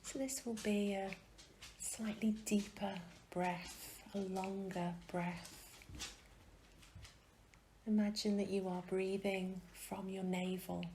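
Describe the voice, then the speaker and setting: A woman gently guiding a meditation in a soft, breathy, often near-whispered voice, soothing and unhurried, with long pauses. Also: mid-range voice, little pitch movement, slight background noise, rough recording. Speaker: meditation guide. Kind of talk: guided meditation.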